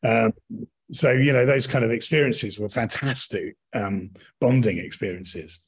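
A man's voice: mid-range voice, a little slow, husky. A middle-aged British man answering conversationally in an interview, rambling and often rephrasing himself mid-sentence. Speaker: interview guest, touring actor and director.

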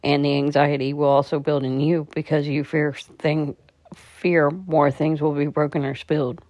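Woman guiding meditation, very even pitch. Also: moderate pace, no room sound. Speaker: meditation guide. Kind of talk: guided meditation narration.

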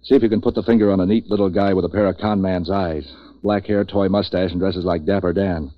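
An actor playing a streetwise, wisecracking man for hire in 1940s radio noir, terse and deadpan. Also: low-pitched, fairly quick, lightly acted. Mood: businesslike. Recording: muffled vintage radio recording.

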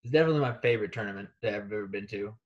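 A man's voice: lowish voice, very fast, highs cut off. A young man from Kansas City, a college wrestler, chatting casually in an interview with lots of fillers and restarts. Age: young adult. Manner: relaxed, rambling with many fillers.

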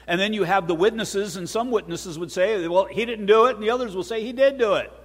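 Male pastor, lively sermon delivery, slightly high voice, long reverb. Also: brisk. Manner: animated preaching, repeats words, acts out courtroom voices.

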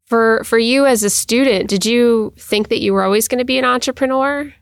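A woman's voice: on the high side, brisk, creaky, dry recording, studio quality. A woman hosting an interview podcast, friendly and encouraging with her guest. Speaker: podcast host.

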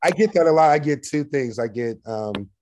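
A man speaking as an interview guest in a conversational business style, with a loud, assertive, salesman-like delivery. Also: on the high side, lively intonation, normal speed, slight background noise. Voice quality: loud, projecting voice.

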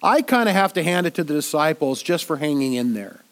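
A man preaching a sermon in a relaxed, conversational way while congested and a little nasal from a cold, often repeating words as he thinks aloud. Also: a little high, brisk. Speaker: pastor.

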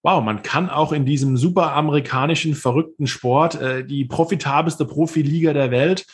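Husky-voiced man telling his story. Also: on the high side, normal speed. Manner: long rambling sentences with frequent hesitations. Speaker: guest, former pro football player. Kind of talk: reflective interview storytelling.

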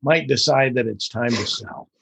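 A man's voice: mid-range voice, moderate pace, gravelly, sharp tone, slightly rough, dry recording. A man hosting a podcast interview, talking in a folksy way with frequent repeated words. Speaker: podcast host.

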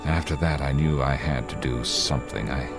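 An actor playing a haunted, lonely male driver who narrates his terror in a brooding, halting way for a suspense radio drama. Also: extremely low pitch, over music. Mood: grim, uneasy.

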